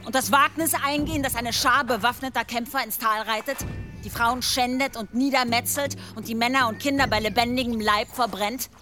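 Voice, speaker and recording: A woman's voice: fairly high pitch, steady tone, brisk, music in the background, natural voice. An actress playing a resolute female village war leader in a German radio drama, firm and commanding as she reasons with people. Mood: challenging.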